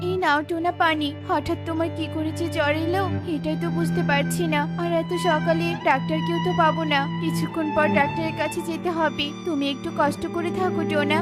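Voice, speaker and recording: Woman playing a caring little mother bird, very high voice, earnest, principled, cartoon, music behind. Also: little pitch movement, fairly quick, hardly any pauses. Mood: worried. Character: kind, caring young female little bird, firmly principled. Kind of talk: animated children's cartoon dialogue.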